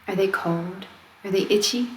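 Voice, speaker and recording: A woman leading a guided meditation, speaking in a gentle, soothing, conversational way as she gives simple instructions. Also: medium pitch, normal speed. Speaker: meditation guide, wellness coach. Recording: steady noise of ocean waves. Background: ocean wave sounds.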